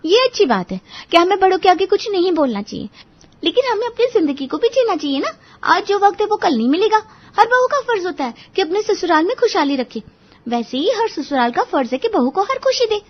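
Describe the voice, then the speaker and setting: A woman playing an outspoken, cheerful and cheeky young daughter-in-law in a Hindi animated moral story, chirpy and persuasive. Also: extremely high pitch, a little fast. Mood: earnest. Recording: dull, lo-fi audio.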